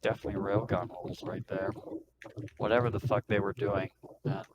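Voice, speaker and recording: Blunt, cynical spaceship pilot for a tabletop sci-fi game, played by a man, low-pitched and grumbling, over a noisy home mic. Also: level tone, brisk, muffled recording, natural voice. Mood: grumbling, suspicious. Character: gruff, cynical, foul-mouthed male spaceship pilot. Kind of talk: in-character tabletop roleplaying.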